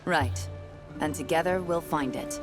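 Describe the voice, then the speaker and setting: A steady hunter in a video game cutscene, answering in short, understated lines. Her voice sits somewhat low, with game music behind. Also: measured pace. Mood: calm. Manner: calm, brief, understated. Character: calm woman hunter, steady and supportive. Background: game score music.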